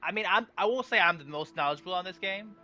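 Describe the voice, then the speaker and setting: A man streaming a game, with a very bright, forceful voice on the higher side. He talks informally and rambles, with faint game music behind him. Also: fast. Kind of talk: casual chat while livestreaming.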